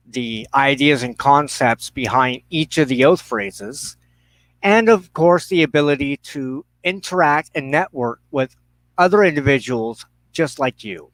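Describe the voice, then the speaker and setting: A man hosting a livestream, making introductions and announcements in a halting, deliberate way with pauses mid-phrase. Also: mid-range voice, no room sound, poor audio. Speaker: host of a religious broadcast show.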